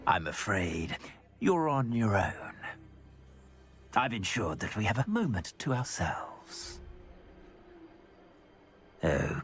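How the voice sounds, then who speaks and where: An arrogant villain wizard from a fantasy game. He is oily and condescending, the pitch low but swinging wildly, every phrase drawn out theatrically over a music bed. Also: a bit bright, exaggerated voice. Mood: smug, coaxing. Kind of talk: video game villain voice acting.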